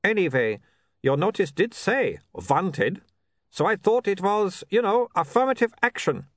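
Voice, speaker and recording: A man's voice: high voice, very animated, measured pace, no echo, voice pushed up, exaggerated voice. A man reading the part of an earnest, formal male vampire photographer with a stiff foreign accent. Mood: indignant. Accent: Uberwald. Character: eager prim male vampire photographer, young.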